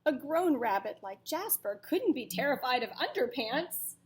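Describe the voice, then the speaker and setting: A woman teacher reading a picture book aloud to children in a deliberate, dramatic way, pausing to ask them questions. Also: high-pitched, very animated, a little hiss or hum. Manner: deliberate, dramatic storytelling delivery.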